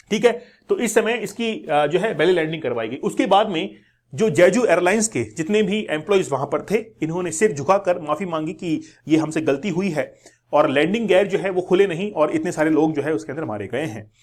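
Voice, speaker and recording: A man with an Indian accent presenting an explanatory news lesson to viewers, going step by step, repeating key words and mixing in English terms. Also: sits high. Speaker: educational channel presenter.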